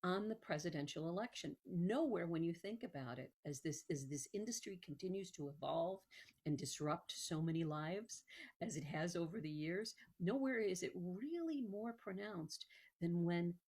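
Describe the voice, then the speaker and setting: A woman hosting a program in an informal promotional style, conversational and halting, with emphatic stresses. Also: fairly low pitch, lively intonation, darkish tone, breathy edge. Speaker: host, museum executive director. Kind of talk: informal promotional talk to viewers.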